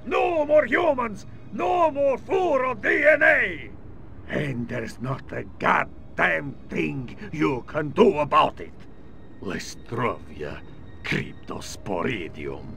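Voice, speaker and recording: An actor playing a scheming alien villain posing as a general, speaking with a gloating, theatrical manner in a video game cutscene. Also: male voice, on the high side, very animated, slow pace, creaky, pushed and bright, slight background noise, heavily acted. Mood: triumphant.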